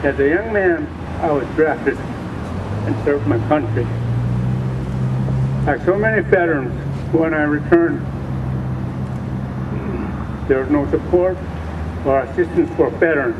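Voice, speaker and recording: An older man from Western Alaska reads a prepared statement in a measured, deliberate way. His voice is a bit high. He sounds far from the mic, with a dull tone and outdoor noise around him. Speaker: veteran speaking at a campaign event. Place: outdoors at a memorial.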